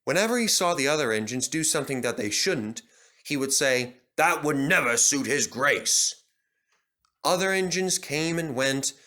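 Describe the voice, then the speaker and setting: A man reading a children's story as narrator, in an expressive storytelling manner. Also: medium pitch.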